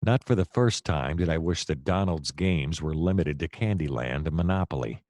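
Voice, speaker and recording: An actor with an American accent playing a hapless, wry male private detective in a noir audio play, deadpan and sometimes flustered. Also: very deep voice, normal speed, no room sound, studio quality. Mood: wry, brooding. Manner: wry, deadpan narration, hesitant and flustered in dialogue.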